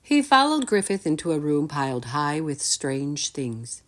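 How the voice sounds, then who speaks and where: Low-voiced, expressive woman reading a story. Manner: expressive, deliberate. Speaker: storytime reader. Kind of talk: children's story read-aloud with questions to listeners.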